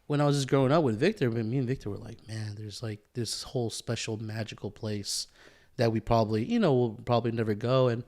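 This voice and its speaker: A man's voice: medium pitch, steady tone, a little mellow. Reflective American man in casual chat. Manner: reflective, halting, repeats himself. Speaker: podcast co-host.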